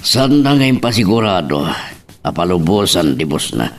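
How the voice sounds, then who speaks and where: A man's voice: low voice, big pitch swings, normal speed. A man playing a grave, protective, remorseful middle-aged father in an Ilocano drama. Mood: earnest. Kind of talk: Ilocano radio-style drama acting. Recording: distant mic, background noise.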